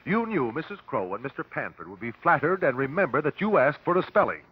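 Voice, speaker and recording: An actor playing a shrewd, relentless detective at an inquest, measured and authoritative, in vintage radio drama style. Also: male voice, fairly high pitch, very animated, husky. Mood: pressing, accusing. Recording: dull vintage radio broadcast recording.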